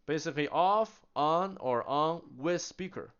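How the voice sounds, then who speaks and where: A man's voice: a little high, a little slow, smooth. Chatty man demoing radios, lo-fi sound. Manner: animated, chatty, laughs while explaining. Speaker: product demonstrator. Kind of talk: casual product demo.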